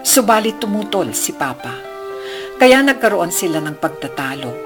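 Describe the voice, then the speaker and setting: A woman reading a letter as scripted narration for a radio drama. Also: medium pitch.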